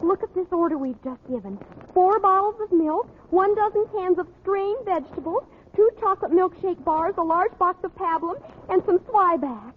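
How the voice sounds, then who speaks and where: A woman's voice: extremely high pitch, lively intonation, moderate pace, slight background noise. A woman playing a prim, eager teenage schoolgirl in a vintage radio sitcom. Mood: prim, conspiratorial. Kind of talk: 1940s-50s radio sitcom acting. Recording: tinny vintage radio recording.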